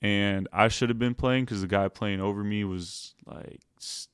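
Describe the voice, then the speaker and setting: A young man, a podcast guest, telling his own story in a relaxed way with lots of "like" fillers. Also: lowish voice, measured pace, smooth, no room sound, studio quality. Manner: laid-back, heavy use of "like" fillers. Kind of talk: casual storytelling.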